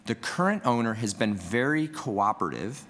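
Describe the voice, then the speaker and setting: A man from heritage planning staff presenting a report at a city board meeting, reading prepared remarks in a measured way. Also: mid-range voice, big pitch swings, a bit bright. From Canada. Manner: measured, reads prepared remarks, asks for next slide. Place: council meeting room.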